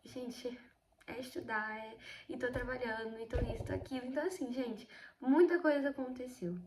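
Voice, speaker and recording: A sixteen-year-old girl with a Brazilian accent, slightly hoarse from a cold, chatting through a casual Bible study vlog and often addressing her viewers. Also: a little high, moderate pace, echoey room, noisy background. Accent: Brazilian Portuguese. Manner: chatty, keeps addressing viewers, emphatic storytelling. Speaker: video host.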